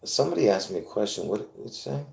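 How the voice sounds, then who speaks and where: A man with a fairly low voice rambles through a casual livestream monologue, chatting loosely, laughing often and restarting his sentences. His voice rings around a roomy, echoey space. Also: very animated, fast, gravelly, dark tone. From born in Lebanon. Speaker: livestream host. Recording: roomy echo.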